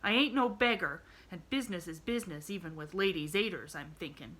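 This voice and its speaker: A woman's voice: lowish voice, a little fast, a bit bright. A woman voicing a plain-spoken poor boy with a drawling rustic way of talking. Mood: wistful.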